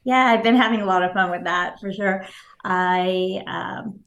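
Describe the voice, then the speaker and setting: A woman whose first language is Japanese, speaking as an author guest in an interview, thoughtful and hesitant with fillers and soft laughs. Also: medium pitch, unhurried, vocal fry, lo-fi sound. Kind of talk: casual interview answers.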